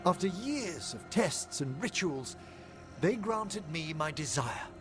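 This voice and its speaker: A man's voice: a little high, big pitch swings, slow pace. A man playing a courteous, reflective older necromancer scholar in a video game, with formal, theatrical, erudite phrasing. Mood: earnest, reflective. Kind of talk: video game cutscene acting. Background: music.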